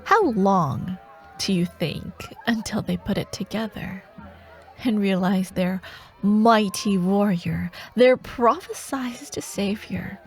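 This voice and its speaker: Woman acting a villainess warlord from a fantasy asmr roleplay, slow n teasing, menacing, music behind her. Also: mid-range voice, darkish tone. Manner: teasing, taunting, slow and deliberate, slipping toward whispers. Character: menacing female dark warlord, taunting nightmare villain.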